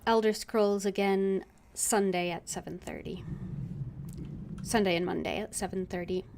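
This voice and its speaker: Slow-talking woman streamer over clear noise. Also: mid-range voice, steady tone. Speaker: game streamer. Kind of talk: casual livestream commentary. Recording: clearly noisy with game ambience.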